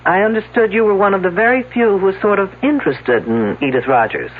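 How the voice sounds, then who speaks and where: A woman's voice: medium pitch, big pitch swings, fast, lightly acted. An actress playing a skeptical, persistent woman detective in a mystery drama, questioning and commenting in a dry, sarcastic manner. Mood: brisk, probing. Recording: noisy, narrow phone-like old broadcast audio.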